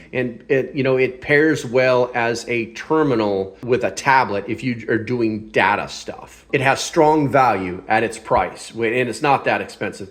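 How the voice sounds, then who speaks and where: A man's voice: medium pitch, fairly bright tone. Blunt, informal man reviewing gear. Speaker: product reviewer and channel host. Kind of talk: casual hands-on gear review.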